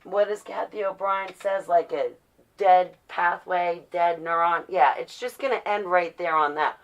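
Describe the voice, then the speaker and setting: A woman's voice: fairly low pitch, fairly even intonation, unhurried. A woman giving a casual, rambling vlog monologue, opinionated and ranting, prone to swearing and to acting out imagined quotes. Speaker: vlogger.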